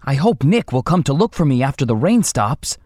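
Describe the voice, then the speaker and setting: A man's voice: medium pitch, very animated, normal speed, a little mellow, slightly rough, dry recording, clean studio sound. A man playing a brave, lonely, steadfast toy soldier in a children's storybook. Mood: hopeful, wondering.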